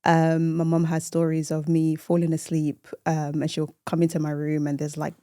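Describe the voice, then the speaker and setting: A woman's voice: low-pitched, completely flat delivery, normal speed, dark tone, no echo, studio quality. A woman in her early thirties chatting casually as a guest on a podcast. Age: early 30s. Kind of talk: casual chat.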